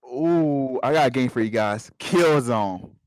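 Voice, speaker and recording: A man's voice: medium pitch, lively intonation, unhurried, no room sound. A man co-hosting a podcast, chatting casually in informal, slangy speech with friends. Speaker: podcast co-host. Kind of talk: casual group discussion.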